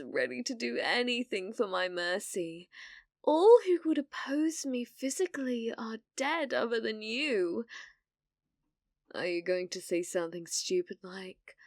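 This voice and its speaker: A woman's voice: mid-range voice, no room sound, studio quality. A British woman playing a cruel, domineering villainess in an ASMR fantasy roleplay, taunting theatrically and slipping into whispers. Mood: haughty, taunting. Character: cruel, domineering female villainess sorceress, gloating and possessive.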